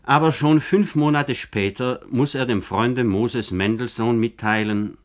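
A man narrating a scripted literary radio documentary in a formal, measured manner. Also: mid-range voice.

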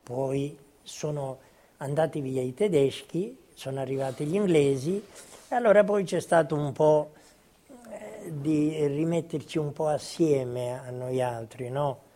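A man's voice: on the high side, measured pace, mellow. An elderly man informally recounting his childhood memories as a witness. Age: 70s or older. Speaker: witness giving video testimony. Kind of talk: informal personal reminiscence.

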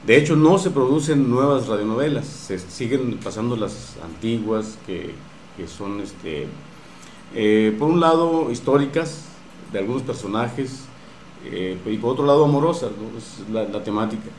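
A man with a Mexican accent explaining things in an interview, pausing often to search for words. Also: medium pitch, animated, darkish tone. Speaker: radio drama producer at a university station. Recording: roomy echo with faint background noise.

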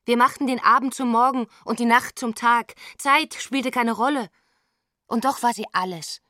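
A woman's voice: a little high, moderate pace, sharp tone, no echo, studio quality. An actress playing a wistful, intense woman confiding about a lost love in a radio drama, in short theatrical phrases that linger on words. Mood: fervent.

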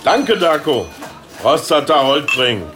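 An actor playing a blunt, scheming, stubborn sausage factory boss in a radio play, with a Ruhr area German accent. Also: male voice, on the high side, expressive, takes it slowly, over music. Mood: gruff, matey. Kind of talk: colloquial radio play dialogue.

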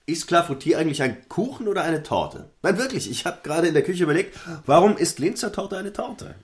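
A man's voice: fairly high pitch, very animated, a little fast. A man playing a genial, conciliatory, boyishly boastful husband in a stage comedy, affable and eager to please. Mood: genial, hosting. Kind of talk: stage comedy dialogue in German audio drama.

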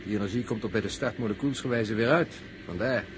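An actor playing a pedantic, unruffled technical expert who speaks in precise, bookish phrases in an old radio drama. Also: male voice, on the low side, fast, close to an everyday voice. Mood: didactic. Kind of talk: 1960s radio drama acting. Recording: noisy old radio recording, vehicle hum.

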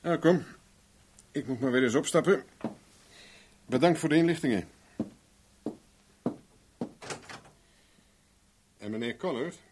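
An actor in a 1970s Dutch radio play, playing a cocky private investigator with a dry, teasing, self-mocking manner. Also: male voice, mid-range voice, normal speed, a little mellow, slight background noise, natural voice. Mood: curt, sceptical. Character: wry, cocky male private investigator for hire.

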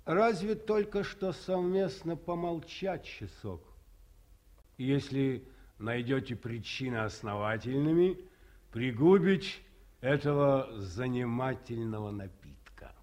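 A man's voice: medium pitch, animated, slow, darkish tone. An actor playing a retired male colonel who speaks in ornate, old-fashioned formal phrases in a 1950s radio play. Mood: courtly.

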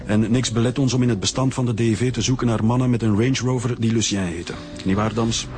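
An actor playing a commanding male police chief giving brisk orders in a naturalistic radio drama. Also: medium pitch, steady tone, natural voice. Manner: brisk, authoritative. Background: music.

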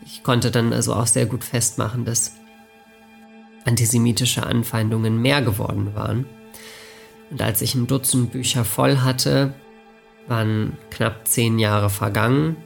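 Reflective man with very gravelly voice. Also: mid-range voice, fairly even intonation, unhurried, soft background music. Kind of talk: reflective spoken reminiscence.

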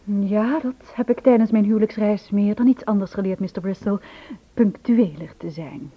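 Radio drama wife, played by a woman: polite, evasive, hesitant, mid-pitched, phone-thin old recording. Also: moderate pace, dull audio, natural voice. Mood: weary, polite. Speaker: actress in a radio play. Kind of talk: Dutch radio drama acting.

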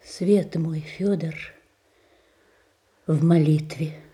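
Devoted tsaritsa, low and dark voice, slow, soft and soothing, radio play. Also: female voice, fairly even intonation. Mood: earnest soothing. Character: gentle, devoted young tsaritsa. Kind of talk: theatrical radio play acting.

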